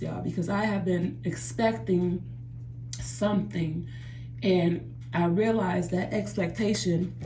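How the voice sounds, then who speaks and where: A woman with a mellow, dark-toned voice speaks earnestly to her viewers, looping back over her words and calling them "y'all." She records on a phone in a hard-walled bathroom that rings with echo. A faint hum sits underneath her. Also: mid-range voice. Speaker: vlogger. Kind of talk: reflective personal vlog. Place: home bathroom by a running jacuzzi.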